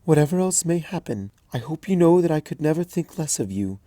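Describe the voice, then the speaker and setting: A man voicing a gentle, regal, motherly pony princess in a fanfic reading, calm, hushed and kindly. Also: fairly high pitch, a little fast, no room sound, voice pushed up. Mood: tender.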